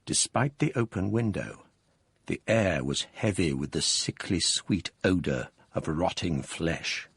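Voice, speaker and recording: A man giving grave, measured narration of a period ghost story for radio drama. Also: deep voice, animated, creaky, a bit bright, breathy edge, heavily acted.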